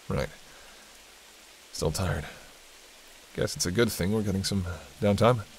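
Male actor as teasing vampire, lowish pitch, dry sarcasm, intimate roleplay delivery, light hiss and rain. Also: slow, vocal fry, exaggerated voice, rough recording. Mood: musing, bantering. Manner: hesitant, bantering, conversational with dry sarcasm. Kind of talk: intimate audio roleplay monologue. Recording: steady hiss and rain behind.

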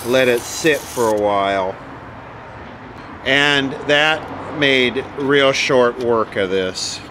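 A man's voice: medium pitch, drawn-out words, background noise. A man talking casually and matter-of-factly through hands-on repair work, rambling a little as he goes. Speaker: radio repair hobbyist, video host.

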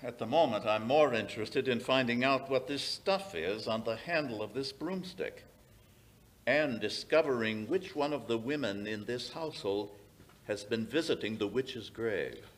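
An older man playing a commanding, inquisitive detective in old-time radio mystery style, speaking in a deliberate, authoritative way. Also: mid-range voice, lightly acted. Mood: probing, confident. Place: small radio-style studio stage, indoors. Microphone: stand microphone.

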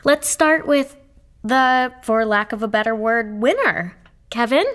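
A nervous reverend leading a funeral that keeps falling apart, her voice a little high. She is polite and flustered, trying hard to keep everyone in order in this audio drama. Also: measured pace, fairly bright tone. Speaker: Reverend Sandy, officiant at the funeral. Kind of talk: audio play acting.

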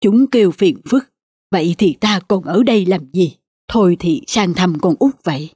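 A woman voicing a kindly old village father in an animated folk tale, plaintive and fatherly, with a husky voice. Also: lowish voice, moderate pace, no echo, studio quality. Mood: hurt, dismayed.

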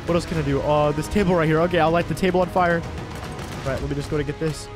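Man playing an excitable high-pitched little boy. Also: quick pace, over music, lightly acted. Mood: excited alarm. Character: excitable, frightened little boy, a baby brother. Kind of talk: improvised gaming commentary in character.

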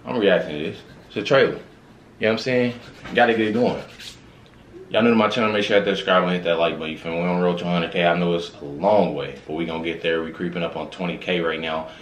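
Deep male voice, American, slangy repetitive chat, echoey room, reaction host. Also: steady tone, fast talker. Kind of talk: casual reaction commentary. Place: small indoor room with records on the wall. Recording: roomy echo. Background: faint music.

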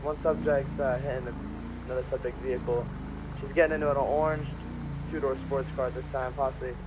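A man's voice: medium pitch, level tone, a little fast. A man playing a police officer in online roleplay, giving clipped procedural radio reports. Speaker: player acting as police officer. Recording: radio chat over vehicle noise.

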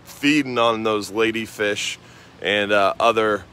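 An American man giving a casual outdoor fishing and weather report for a marina, relaxed and rambling with frequent fillers. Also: lowish voice, unhurried, clean tone.

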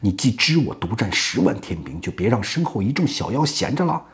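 A man's voice: on the low side, sing-song, brisk. A man voicing a proud, hot-tempered monkey king with blustering, slangy speech in a told story. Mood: defiant.

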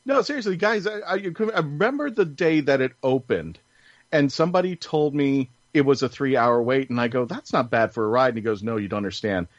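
A man's voice: mid-range voice, brisk, no room sound. A man hosting a casual panel podcast, chatting in a jokey storytelling manner. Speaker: podcast host. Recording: dull, lo-fi audio.

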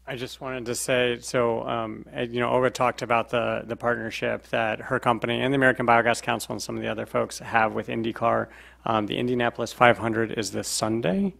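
A man's voice: mid-range voice, level tone, fairly quick, creaky, a bit bright. A man on a briefing panel giving upbeat, informal remarks.

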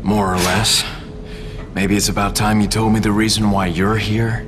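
A man's voice: on the low side, flat delivery, moderate pace, background noise, close to an everyday voice. An actor playing a determined young male agent who speaks tersely, in a video game cutscene. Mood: wry. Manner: terse, urgent. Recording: game cutscene with loud music.

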